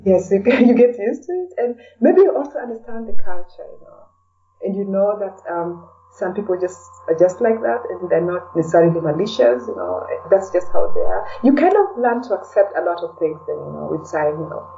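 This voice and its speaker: Casting: Kenyan woman, casual interviewee, very mellow tone, slightly muffled distant recording, conversational with frequent "you know". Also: medium pitch, lively intonation, fairly quick, gravelly, a little hiss or hum. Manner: peppers talk with "you know". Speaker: podcast interview guest. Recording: distant, slightly dull sound.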